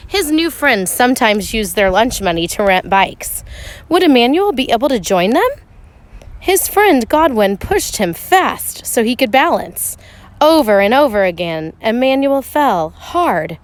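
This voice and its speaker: A woman narrating a children's storybook aloud, expressively, with measured phrasing and dramatic pauses for emphasis. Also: fairly high pitch, sing-song. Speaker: teacher reading a picture book aloud.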